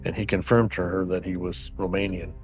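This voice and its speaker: A man, an investigator, recounting details of a case in a measured, matter-of-fact interview. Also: low-pitched, little pitch movement, soft background music. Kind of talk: interview clip.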